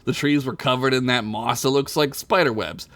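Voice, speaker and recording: A man's voice: medium pitch, normal speed, a bit bright, dry recording. A young man narrating an improvised comedic story in a crude, self-amused way, often breaking into laughter.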